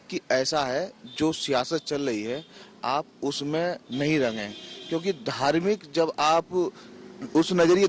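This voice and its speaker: A man giving a spontaneous interview answer, dropping in some English words. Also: on the high side. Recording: faint ambient noise.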